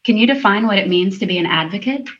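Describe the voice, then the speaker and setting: A woman hosting a panel, thanking the guests graciously and asking measured questions. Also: medium pitch, animated, fairly bright tone. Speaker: interview host. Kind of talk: moderating a panel. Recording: dull lo-fi audio.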